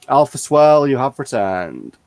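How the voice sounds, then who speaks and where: A man's voice: medium pitch, very animated, measured pace, gravelly, a little mellow, no echo. Casual male chat host, close dry sound. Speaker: host of a fan community chat show.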